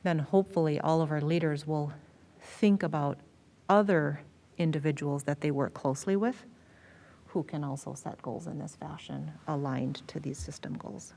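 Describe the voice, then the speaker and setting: A woman's voice: sits low, normal speed, vocal fry, dark tone. An American woman, a school district superintendent, explaining things in a meeting presentation and repeating words for emphasis. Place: school board meeting room.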